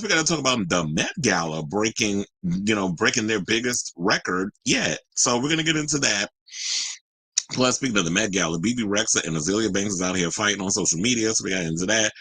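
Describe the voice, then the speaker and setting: Man, lowish pitch, husky, dry sound, casual live-stream host, chatty gossip commentary, slangy. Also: a bit bright, no room sound, poor audio. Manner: informal, gossipy, rambling with asides and slang.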